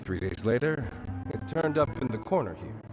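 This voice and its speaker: A shrewd underworld informant played by a man with an average-pitched male voice. He talks in a dry, knowing, wry way, as in a video game story scene, over background music. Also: animated, normal speed, natural voice. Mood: wry, explaining.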